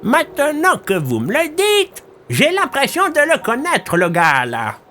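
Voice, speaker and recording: A high, piercing old village woman. She rambles in a folksy, chatty way, her pitch swooping up and down, cheerful and a little senile. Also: huge pitch swings, unhurried, sharp tone, soft background music, full character voice. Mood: rambling, friendly. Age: older. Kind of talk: audio drama acting.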